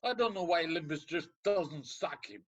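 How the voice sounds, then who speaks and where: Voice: mid-range voice, animated, moderate pace, lo-fi sound, lightly acted. An actor playing a scornful, impatient counsellor in a comedic radio play, speaking with sarcastic exasperation. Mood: mocking, exasperated. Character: scornful, impatient, unsympathetic ancient Greek counsellor.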